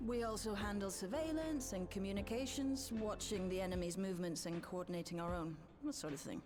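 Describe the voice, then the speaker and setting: A woman voicing a sharp, breezy intelligence specialist in a video game, conversational and matter-of-fact. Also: medium pitch, brisk, over music, natural voice.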